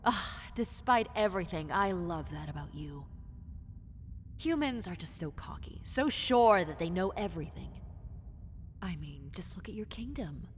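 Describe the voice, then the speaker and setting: Casting: woman to play possessive snake-woman monster for roleplay audio. Low, unhurried, seductive and condescending, dropping into whispers. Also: expressive. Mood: condescending, amused. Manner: condescending, seductive, drawn-out taunting, slipping into breathy whispers. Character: possessive, mocking female lamia, half-snake monster, teasing predator. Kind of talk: roleplay audio drama, addressed to the listener. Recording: long cave-like reverb, faint noise.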